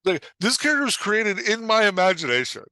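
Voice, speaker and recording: Bright-voiced man, dry close sound. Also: high-pitched, very animated, a little fast, dry recording. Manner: rambling, peppered with "like" and reported quotes. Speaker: podcast guest and writing coach. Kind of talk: casual conversation, explaining and joking.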